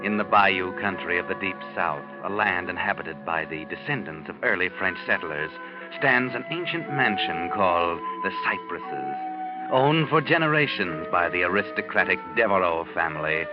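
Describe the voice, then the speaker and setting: A sinister, all-knowing storyteller, with a low, dramatically swooping voice. He narrates a vintage radio mystery in ominous, deliberate phrases over loud music. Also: animated. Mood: sardonic, foreboding. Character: sinister, knowing male night-walking storyteller. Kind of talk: 1940s radio scripted narration. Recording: dull old radio recording with music.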